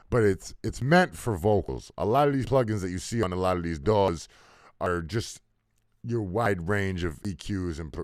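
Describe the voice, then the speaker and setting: A man with a very low, deep voice walks through a music mixing lesson in a loose, rambling way, full of fillers and offhand swearing. His voice sits dry and close, heard through a desktop mic. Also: no echo. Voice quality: deep voice, muffled by a faulty mic input. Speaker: music producer teaching mixing. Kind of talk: casual screen-recorded tutorial. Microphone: desktop mic into recording software.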